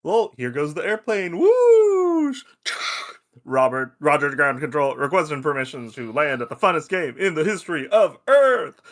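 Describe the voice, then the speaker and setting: A man's voice: on the high side, normal speed. A man playing a crude, teasing space probe who loves football and shows off as he explains, in a table-read audio drama. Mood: playful. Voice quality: animated, swooping delivery. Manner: foul-mouthed, teasing, showy explainer.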